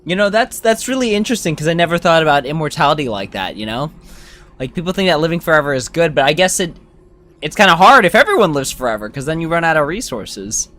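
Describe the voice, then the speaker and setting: A man's voice: fairly high pitch, very animated, quick pace, sharp tone. A man giving casual gameplay commentary as a streamer, chatty and informal, thinking aloud. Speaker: game streamer.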